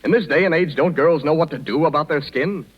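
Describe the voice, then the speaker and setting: An actor playing an impatient, grumbling Hollywood casting director in a 1930s radio sketch. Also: male voice, on the high side, brisk, natural voice. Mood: irritated. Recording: dull 1930s radio recording.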